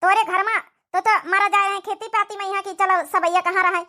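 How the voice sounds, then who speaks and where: A woman's voice: extremely high pitch, fairly even intonation, a little fast. A woman voicing a scolding, indignant old village mother-in-law in a comic cartoon, with an Awadhi accent and nagging questions. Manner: nagging, repeats sharp questioning tags.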